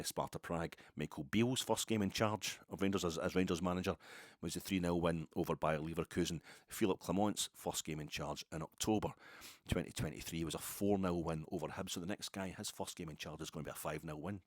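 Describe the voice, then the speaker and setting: Deep-voiced rambling Scottish man. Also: dry recording, studio quality. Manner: rambling, wry, colloquial. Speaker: football fan podcast host. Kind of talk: casual solo chat.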